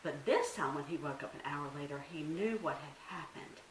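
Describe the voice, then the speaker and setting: Woman, children's storyteller, lower-pitched, very animated and dramatic, distant mic. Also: moderate pace. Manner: dramatic, asks listeners questions. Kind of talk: expressive storytime narration for young children. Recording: roomy, distant mic, faint hiss.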